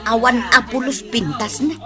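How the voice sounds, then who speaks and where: A woman's voice: on the high side, lively intonation, moderate pace, music in the background, crowd noise, close to an everyday voice. A woman playing a catty, fault-finding middle-aged auntie in a radio drama, snippy and exclamatory, with an Ilocano Filipino accent. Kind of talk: Philippine radio drama acting.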